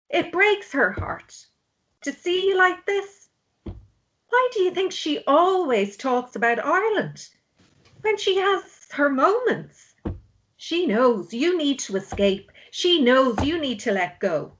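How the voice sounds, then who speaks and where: An actress playing a caring, insistent confidante with an earnest manner in a drama table read. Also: female voice, high voice, very animated, takes it slowly, fairly bright tone, lo-fi sound, heavily acted. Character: caring, insistent confidante urging a man onward.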